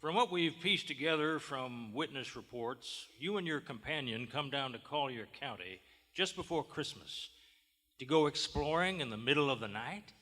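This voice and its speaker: Man acting a sheriff, gruff, suspicious, blunt, forceful tone, old radio drama, echoing hall. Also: mid-range voice, animated, moderate pace. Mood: probing. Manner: folksy, blunt, badgering. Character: gruff, suspicious, hard-nosed Southern sheriff in his office. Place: large theater stage, live performance. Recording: live theater stage, long hall reverb.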